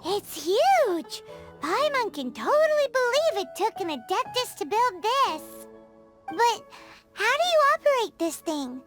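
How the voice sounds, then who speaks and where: An extremely high, childlike voice for a small fairy companion in a video game. It is blunt, excitable and squeaky, taking its time over words with very animated swings. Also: female voice, a little slow, heavily acted. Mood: excited. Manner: refers to self in third person, exclamatory. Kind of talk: English game voice acting. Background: background music.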